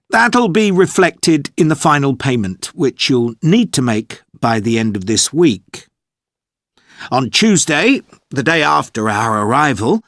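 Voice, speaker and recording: A man playing an organised, courteous tour leader, giving a measured, clearly explained scripted briefing for a listening test. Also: medium pitch, fairly bright tone, no room sound, clean studio sound. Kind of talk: scripted informational monologue for an English listening test.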